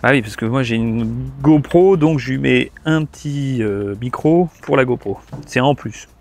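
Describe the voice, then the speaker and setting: A man's voice: mid-range voice, unhurried, gravelly, darkish tone, faint music behind. A man giving a casual hands-on product tutorial, chatting informally to the viewer and thinking aloud as a gear reviewer.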